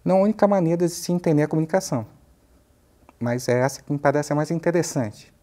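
A man in his fifties with a Brazilian accent, a professor explaining ideas in a measured, halting way in a studio interview. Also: on the high side, warm tone. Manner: measured, halting, searching for words. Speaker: university professor, interview guest. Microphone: lapel mic.

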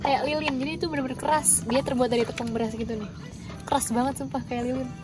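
Woman, camping vlogger, casual chat to viewers, high pitch, loud background music. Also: fairly even intonation, fast. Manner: chatty, addresses viewers directly. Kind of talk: casual vlog chat to camera. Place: outdoors at a misty hill campsite and in a tent.

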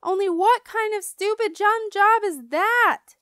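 Woman doing a loud, high-pitched little schoolgirl. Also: measured pace, dry recording, studio quality. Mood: frantic. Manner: loud, emphatic, childish grammar. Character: brash, excitable, stubborn young schoolgirl. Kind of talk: children's audiobook character voice.

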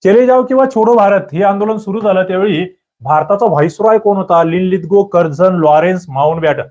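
A man giving a quiz-style exam-prep lecture, firing questions and demanding quick answers from his students. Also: a little mellow. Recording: muffled low-fidelity recording.

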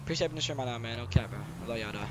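A man's voice: mid-range voice, very fast. A man streaming a game, chatting casually and role-playing with friends in slangy, sweary talk. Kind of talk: casual gaming chat, role-play banter. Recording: noisy game audio with vehicle sounds.

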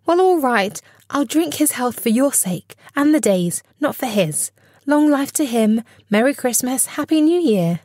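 Male actor as indignant poor housewife in audio drama: high, emphatic, scolding, devoted. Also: sing-song, a little slow, darkish tone, no room sound, clean studio sound, full character voice. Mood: grudging. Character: indignant, loving, sharp-tongued working-class wife and mother.

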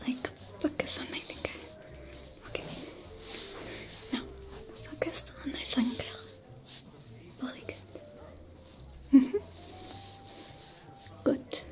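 A woman voicing a female alien smuggler with a French accent, whispering gently in an ASMR roleplay. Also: high-pitched. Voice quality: whispery, soft-spoken. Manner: slow, soothing, caring.

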